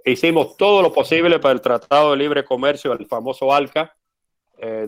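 Interview guest, male, second-language speaker, steady explanatory delivery with hesitations. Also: mid-range voice. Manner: measured, frequent "eh" fillers, explanatory. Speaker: interview guest, former US policy adviser. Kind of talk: conversational interview answer.

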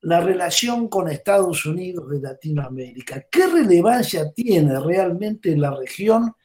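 Interviewer, mellow tone, probing and rephrasing as they ask. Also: mid-range voice, expressive, measured pace. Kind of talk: interview question.

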